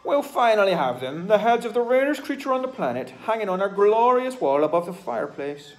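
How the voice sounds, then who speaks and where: A man's voice: a little high, lively intonation, moderate pace, faint music behind, voice pushed up, close to an everyday voice, low-quality recording. A man doing a posh, gloating villain hunter. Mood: exultant. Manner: gloating, scornful, loud villain speech. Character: posh, greedy, evil male hunter. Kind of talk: bedtime storybook reading.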